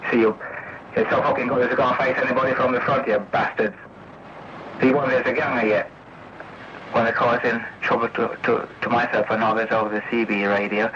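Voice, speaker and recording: An angry English man ranting confrontationally and repetitively over CB radio, swearing often. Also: on the low side. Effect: CB radio transmission. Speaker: CB radio user. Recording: noisy, muffled vintage CB radio recording.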